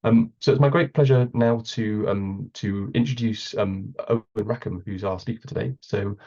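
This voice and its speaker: Man, hoarse from a cold, gravelly and rough, halting with ums; dull video-call audio. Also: lowish voice, steady tone, mellow. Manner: hesitant, many ums. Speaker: meeting co-host. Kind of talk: online meeting facilitation. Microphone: computer mic on a video call.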